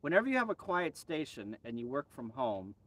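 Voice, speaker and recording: A man's voice: mid-range voice, sing-song, a little fast, fairly bright tone. An American man hosting a casual live stream as a ham radio hobbyist, chatting in an off-the-cuff way. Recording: distant mic, faint background noise.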